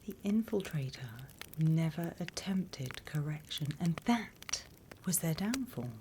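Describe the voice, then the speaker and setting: A sly demon instructor, played by a woman with a British accent, mostly whispering close to the mic. The delivery is low, slow and measured, dryly conspiratorial with quiet menace. It is an ASMR roleplay with faint noise behind the voice. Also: animated. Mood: cautioning, instructive. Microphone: close microphone.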